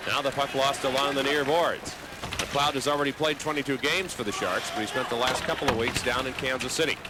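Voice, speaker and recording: A man's voice: mid-range voice, poor audio. A man doing live play-by-play hockey commentary, describing the action in a fluent running call. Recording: loud arena noise behind. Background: loud hockey arena ambience.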